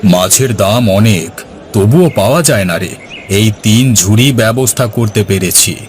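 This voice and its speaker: A man's voice: lowish voice, expressive, unhurried, slight background noise. Man voicing a commanding village headman. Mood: businesslike. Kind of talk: cartoon dialogue.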